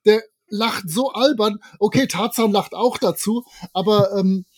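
A man's voice: sits high, a little slow, darkish tone, husky. A man co-hosting a podcast, casually reviewing an audio drama in a colloquial, wry way. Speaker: podcast co-host.

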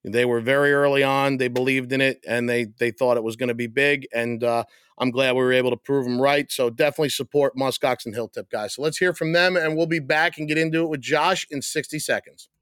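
An upbeat man hosting a podcast, talking loud and lively while losing his voice a little. The sound is close and dry, with no room around it. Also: mid-range voice, fairly quick, clear, resonant tone, no room sound. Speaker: podcast host. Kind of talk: casual chat.

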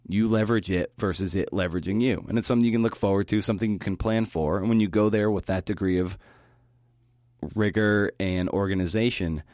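A man's voice: deep voice, darkish tone, dry recording, studio quality. A man hosting a podcast, delivering a prepared talk. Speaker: podcast host giving a talk. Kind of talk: prepared talk with interview clips.

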